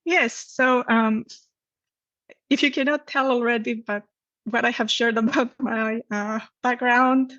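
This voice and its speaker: A woman's voice: on the high side, steady tone, unhurried, fairly bright tone, no echo, highs cut off. A woman from Greece with a Greek accent, telling her own story conversationally as an interview guest. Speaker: podcast guest, academic researcher.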